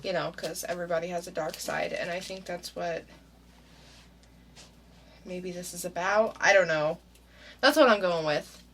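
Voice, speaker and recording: A woman's voice: fairly low pitch, normal speed, long pauses, fairly bright tone, a little hiss or hum. A woman co-hosting a casual podcast who talks in an easygoing, musing way and often laughs. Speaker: podcast co-host.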